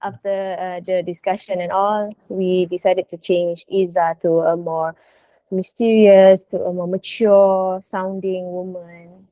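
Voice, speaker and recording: Halting woman. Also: lowish voice, little pitch movement, warm tone, dry recording, rough recording. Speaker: voice actor, podcast guest. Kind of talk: casual interview chat.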